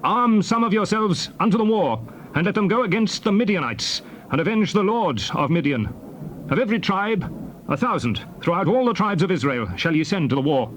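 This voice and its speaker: An actor playing Moses, a stern and forceful leader who declaims with authority in a scripture drama. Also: male voice, sits high, expressive, fairly quick, a little hiss or hum. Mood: commanding.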